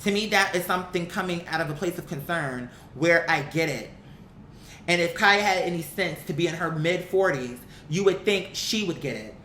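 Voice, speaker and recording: A woman's voice: lively intonation, moderate pace, a bit bright. An American woman giving opinionated, casual commentary to her viewers, speaking in a blunt, emphatic, slangy way and often throwing out rhetorical questions. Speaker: vlogger, commentary host. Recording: roomy echo with faint rumbling noise.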